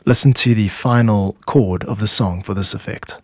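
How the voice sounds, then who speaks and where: A man hosting an explanatory music podcast, speaking in measured, instructive phrases with deliberate pauses into a close microphone. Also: lowish voice. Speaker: podcast host and composer explaining own music.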